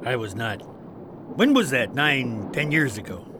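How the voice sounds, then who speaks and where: Man acting a wily pirate, swinging pitch, noisy backdrop. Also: sing-song, unhurried. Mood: grim, reminiscing. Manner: colloquial, swaggering pirate talk. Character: rough, wily veteran pirate, Captain's trusted old hand. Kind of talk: audio drama acting.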